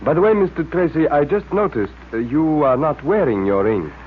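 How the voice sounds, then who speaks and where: Formal Egyptian gentleman, tinny old radio. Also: on the high side, lively intonation, slightly rough. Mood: grateful. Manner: formal, halting, ceremonious. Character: courteous, grateful Egyptian gentleman, earnest and formal. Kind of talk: 1940s radio serial acting. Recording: band-limited, faintly noisy old radio recording.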